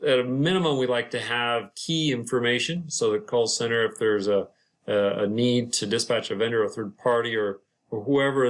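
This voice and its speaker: Man giving a halting, informal software tutorial. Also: mid-range voice. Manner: halting, unscripted, explanatory. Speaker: property manager presenting a software tutorial. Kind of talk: informal screen-share tutorial narration.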